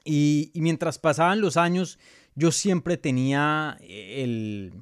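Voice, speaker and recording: A man's voice: a little high, slow, clear, resonant tone, no echo. Chatty Colombian-born man, dry close sound. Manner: chatty, many fillers and restarts. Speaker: MMA journalist and show host. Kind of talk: live casual Q&A reading viewer questions.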